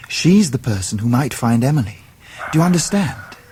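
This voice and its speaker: An actor playing an earnest, courteous young Victorian gentleman with an English accent in a period radio drama. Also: mid-range voice, very animated, moderate pace, a little hiss or hum, close to an everyday voice. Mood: earnest urgency. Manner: polite, earnest, well-spoken.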